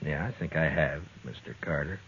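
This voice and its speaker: An American actor playing a tough, curt, middle-aged frontier marshal in old-time radio western style, commanding and dry. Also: male voice, a bass voice, moderate pace, a bit bright. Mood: stern, defiant. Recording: muffled, noisy old radio western recording.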